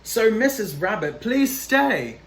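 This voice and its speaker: Man voicing eager high-pitched storybook animal neighbours. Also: very animated, slow, fairly bright tone, echoey room, full character voice. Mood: eager, hopeful. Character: eager, polite animal neighbours courting a new tenant.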